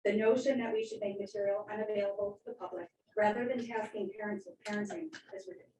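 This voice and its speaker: A woman's voice: mid-range voice, steady tone, fast talker, a little mellow. A woman, a parent, delivering a firm, argumentative public comment at a meeting. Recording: echoey room, far from the mic, faint noise.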